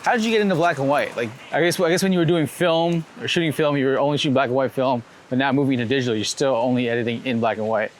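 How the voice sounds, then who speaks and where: Man, mid-pitched, fast pace, casual interviewer, conversational questions. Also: slight background noise. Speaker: interviewer and host.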